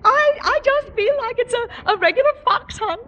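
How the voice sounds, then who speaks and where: An actress playing a chirpy, bossy middle-aged wife in 1950s radio comedy, gushing and fluttery, on an old recording. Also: female voice, very high voice, lively intonation, normal speed, a bit bright, lo-fi sound. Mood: excited.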